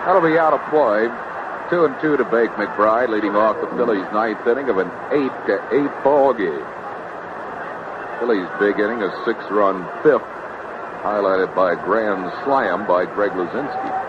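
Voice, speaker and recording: American baseball announcer, noisy old radio. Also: male voice, fairly low pitch, animated, a little slow. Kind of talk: live sports commentary. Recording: noisy, dull 1970s radio broadcast tape.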